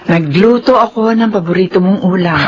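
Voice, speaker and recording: A woman's voice: medium pitch, normal speed, lo-fi sound, slight background noise, close to an everyday voice. A woman with a Filipino accent acting a gracious, slightly nervous middle-aged mother in a radio drama, hospitable but hesitant. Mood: welcoming.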